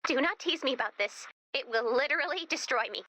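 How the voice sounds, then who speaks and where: A woman playing a perky, excitable robot who gushes like a nerdy music superfan in a video game. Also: high voice, brisk, no echo. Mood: playful. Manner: gushing, quippy, theatrical. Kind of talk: video game character dialogue.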